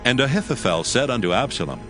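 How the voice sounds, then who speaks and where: A man narrating a dramatized scripture reading in a steady, measured way. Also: mid-range voice. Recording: faint music bed.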